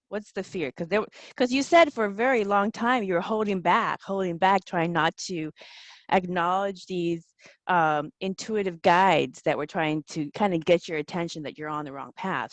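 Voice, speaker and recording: A woman hosts a podcast interview in a conversational way. Her voice sits at a medium pitch and she wanders a little, rephrasing as she goes. The sound is dry and close, with no audible room. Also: no room sound. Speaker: podcast host.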